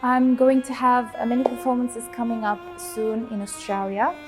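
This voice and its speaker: A woman in her twenties, born in Syria, a cellist and teacher speaking in a relaxed interview, with many pauses and fillers. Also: on the high side. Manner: hesitant, many fillers, warm about music. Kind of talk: relaxed personal interview answers.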